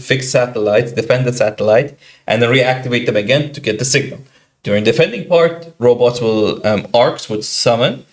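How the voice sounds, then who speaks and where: A man tells a gaming story on a casual podcast, his pitch swinging widely as he acts out quotes and shouts. His middle-pitched voice sounds animated and chatty. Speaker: podcast co-host. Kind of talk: casual storytelling about a video game.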